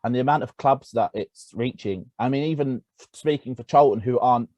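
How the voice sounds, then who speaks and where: Man, podcast co-host, halting delivery, dark mellow tone, dry close sound. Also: mid-range voice, dry recording. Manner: halting, with many restarts. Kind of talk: casual podcast conversation.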